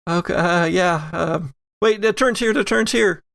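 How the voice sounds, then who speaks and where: Guy doing a shaken confused young woman, horror drama, halting, higher pitched, bit of creak. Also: a bit bright, no echo, clean studio sound, exaggerated voice. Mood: shaken, urgent. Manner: halting, stammering.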